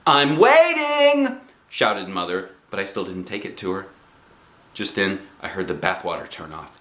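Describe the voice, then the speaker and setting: A man reading a children's book aloud in an expressive storytelling style. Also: deep voice, moderate pace, roomy sound, a little hiss or hum. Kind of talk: animated storybook narration.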